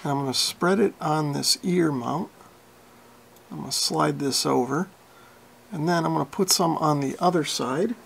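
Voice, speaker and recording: A man with a fairly high voice and plenty of vocal fry narrates a hands-on repair tutorial. His delivery is informal and folksy, with a self-deprecating streak. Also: normal speed. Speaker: radio repair hobbyist and video host.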